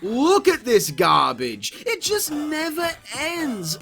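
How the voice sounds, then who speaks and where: A man's voice: extremely high pitch, huge pitch swings, takes it slowly, gravelly, bright, forceful tone. A man giving comedic, partly scripted video review commentary in an exclamatory, sarcastic, crude way. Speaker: video game reviewer, host.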